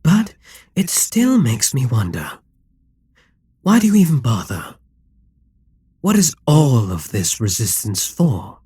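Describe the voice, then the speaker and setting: A man playing a dominant, gloating Demon King in an intimate ASMR roleplay, smug and coaxing, with a breathy, husky voice that often drops toward a whisper. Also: mid-range voice, wildly swooping pitch, slow pace, pauses often, mellow, dry recording, studio quality, exaggerated voice. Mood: probing, taunting. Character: dominant, gloating male Demon King toying with a captive hero.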